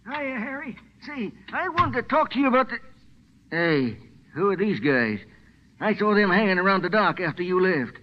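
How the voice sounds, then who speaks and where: An actor playing a friendly, rambling old American drunk, a folksy dockside sidekick in 1940s-style radio drama. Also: male voice, high-pitched, sing-song, normal speed. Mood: eager, curious. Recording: muffled old radio recording.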